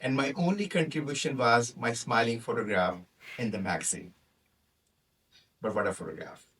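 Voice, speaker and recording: A man's voice: medium pitch, normal speed, a bit bright, husky, distant mic. A man in his forties with a Pakistani accent, a native Punjabi speaker, giving a humorous prepared club speech and acting out the people in his story. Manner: storytelling, acts out quoted characters.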